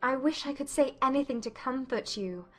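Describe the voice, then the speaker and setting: A woman voicing a sympathetic, thoughtful young sister in a dramatized audiobook, gentle and measured. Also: high voice, faint music behind, voice pushed up.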